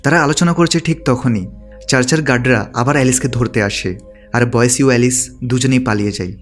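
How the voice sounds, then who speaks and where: A man's voice: mid-range voice, fast. A man delivering scripted recap narration in a plain, explanatory storytelling manner. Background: background music.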